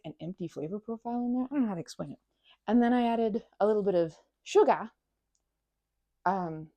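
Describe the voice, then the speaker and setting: A woman's voice: medium pitch, dark tone, no echo. A woman performing a casual best-friend ASMR roleplay, chatting in a playful, scatterbrained way and rambling as she goes.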